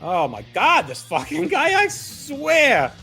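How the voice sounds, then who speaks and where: A man's voice: very high voice, wildly swooping pitch, slow, gravelly, sharp tone, full character voice. Man as a wildly swooping, exasperated grumbler. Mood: sarcastic grumbling. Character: exasperated, grumbling male wrestling crew member wanting credit. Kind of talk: audio-play acting. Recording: faint music behind the voice.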